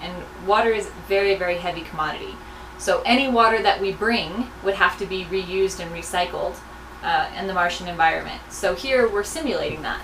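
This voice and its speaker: Woman casually answering questions, mid pitch, echoey room with a bit of noise. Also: a little fast. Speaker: research crew member answering students' questions.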